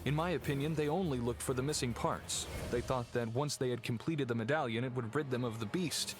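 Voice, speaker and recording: A man's voice: medium pitch, brisk, over music, lightly acted. Man playing Sir Henry, courteous, earnest heir. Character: Sir Henry, polite, serious male heir of cursed family. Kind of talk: video game dialogue acting.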